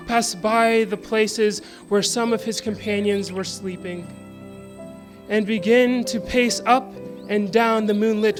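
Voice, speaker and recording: A woman giving a scripted dramatic reading in a reverent, measured storytelling manner. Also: medium pitch. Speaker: dramatic reader of a historical account. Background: music and birdsong.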